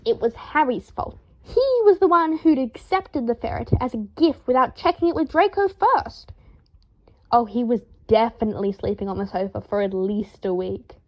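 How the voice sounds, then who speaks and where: A woman reading fan fiction aloud as an audiobook, telling the story in a lively, dramatic way. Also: fairly high pitch, lo-fi sound. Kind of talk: expressive audiobook narration.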